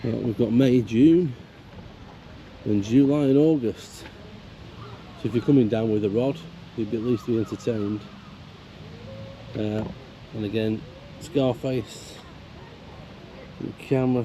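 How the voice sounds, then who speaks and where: A man narrating a casual walk around a park fishing lake, croaky from illness, chatty and grumbling about the council. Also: lowish voice, fairly even intonation, a little slow, pauses often, warm tone, lo-fi sound. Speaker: angler vlogger. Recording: outdoor camera audio with faint background noise.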